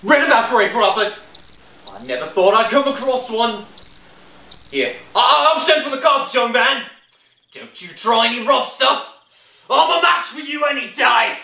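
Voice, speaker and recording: Blustering, gruff-mannered cemetery caretaker for radio horror, bright and forceful, in an echoey room. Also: male voice, very high voice, expressive, measured pace, exaggerated voice. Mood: indignant, threatening. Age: young adult. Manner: blustering, colloquial, scolding. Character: gruff, suspicious cemetery caretaker, common working man. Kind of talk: old-time radio horror acting. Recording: echoey room, distant mic.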